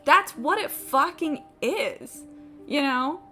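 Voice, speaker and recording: A woman's voice: sits high, expressive, takes it slowly, pushed and bright. A woman chatting casually as a live streamer, opinionated, emphatic and free with swearing. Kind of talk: casual chat while gaming. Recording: game music in the background. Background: video game music.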